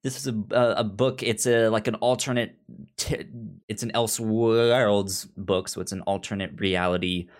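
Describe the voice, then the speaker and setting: A man co-hosting a podcast, explaining things in a halting, informal, chatty way. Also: lowish voice, steady tone. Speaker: podcast co-host discussing comics. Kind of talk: casual chat.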